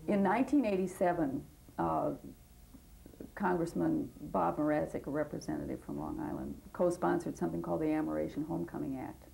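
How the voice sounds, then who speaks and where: A woman's voice: deep voice, fairly even intonation, brisk, gravelly, dark tone, a little hiss or hum, low-quality recording. A woman advocate and teacher speaking earnestly and with passion in a documentary interview, sometimes restarting her sentences.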